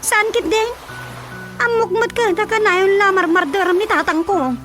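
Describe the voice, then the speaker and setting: Young rural woman in radio comedy drama, Ilocano accent. Very high voice, insistent, teasing, expressive. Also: normal speed, music in the background. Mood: insistent, conversational. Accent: Ilocano Filipino.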